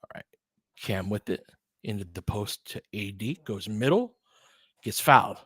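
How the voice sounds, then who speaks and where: A man in his forties doing casual play-by-play of a basketball game, chatty and excitable, thinking aloud between plays. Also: lowish voice, unhurried, husky, no echo. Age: 40s. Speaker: play-by-play host. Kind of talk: casual fan play-by-play commentary.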